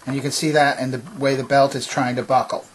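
A man presenting a hands-on demonstration in a methodical, explanatory way, with a slightly husky voice. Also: mid-range voice, level tone, fast talker, a little hiss or hum, rough recording. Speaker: presenter demonstrating vintage tape recorder. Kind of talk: instructional demonstration narration. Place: indoor home workbench.

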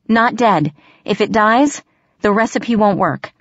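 A woman voicing a frightened but quick-thinking captive girl who bluffs and pleads, in an audiobook. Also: medium pitch, a bit bright, no room sound, dull audio, pitched above the performer's own voice. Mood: desperate. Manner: bluffing, pleading, hesitant when cornered. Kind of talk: audiobook character voice.

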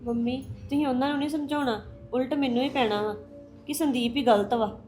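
A woman's voice: high voice, fairly quick, a bit bright, soft background music. A woman playing a hurt, defensive daughter-in-law. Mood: pleading. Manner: pleading, defensive, tearful. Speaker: daughter-in-law in a family drama. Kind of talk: domestic drama acting.

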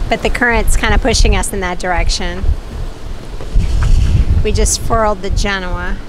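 A woman's voice: mid-range voice, steady tone, normal speed, noisy background. A woman sailing vlogger talking casually and informally to the camera aboard a boat, in a conversational way. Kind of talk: casual vlog chat. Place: aboard a sailing catamaran at sea.

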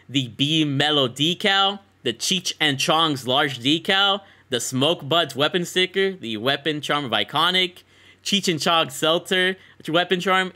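A young man hosting a casual game showcase, talking in an excited, slangy way on a close microphone. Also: fairly high pitch. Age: young adult. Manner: slangy, enthusiastic. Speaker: gaming content creator and host.

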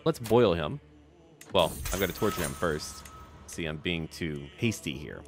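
A man's voice: low-pitched, lively intonation, a little slow, over music. A man hosting a casual roleplay gaming video, commenting in a chatty, offhand way as he addresses the game's characters.

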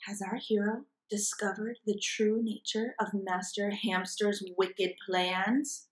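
A woman's voice: medium pitch, little pitch movement, slow pace, rough recording. A woman in her twenties narrating a comic book read-aloud as an after-school storyteller, recorded indoors at home. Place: small room at home, indoors.

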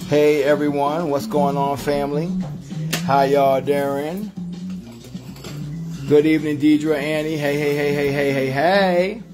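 A relaxed man chats through a home cooking livestream, greeting viewers like family as he lists his ingredients. He talks into a phone in his kitchen while music plays clearly behind him. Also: on the high side, slow. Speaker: host of a vegan cooking livestream. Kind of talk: casual livestream cooking chat. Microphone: phone camera.

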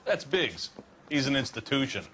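Senior cop in a game, bright sharp voice, lively, brisk and matter of fact. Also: male voice, mid-range voice, a little hiss or hum. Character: brisk senior policeman showing a rookie around. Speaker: police superior. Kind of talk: video game cutscene acting.

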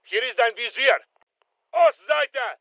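A man's voice: very high voice, lively intonation, takes it slowly, dry recording, phone-quality sound, exaggerated voice. An actor playing a terse, disciplined soldier who reports to his squad in short clipped phrases in a video game. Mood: businesslike. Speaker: military game character. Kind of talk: video game combat callouts.

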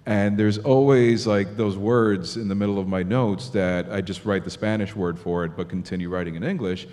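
Male panelist from Miami, 30s, low-ish voice, reverberant conference hall, table mic. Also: moderate pace. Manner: animated, thinking aloud with many restarts. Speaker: panelist journalist. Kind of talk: panel discussion answer. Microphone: table mic on stand.

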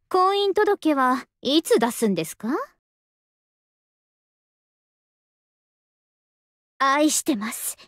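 A young anime game soldier woman with a high voice. She is languid and polite, with an understated affection, and her pitch swings widely. The sound is dry and close. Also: no room sound, full character voice. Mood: soft, affectionate. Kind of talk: Japanese anime game voice acting.

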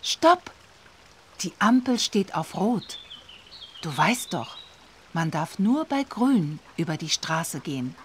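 A woman's voice: on the low side, big pitch swings, takes it slowly, pauses often, a little hiss or hum. A woman voicing an encouraging, watchful guide in a children's game, emphatic and instructive. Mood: warning. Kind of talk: children's educational game voice-over.

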